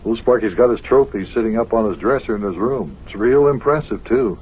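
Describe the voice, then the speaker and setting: A man playing a kindly, patient guardian who also narrates an old children's radio serial in a homey, measured way. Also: lowish voice, lightly acted. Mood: friendly, matter-of-fact. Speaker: host and narrator. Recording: very thin, phone-like old radio recording.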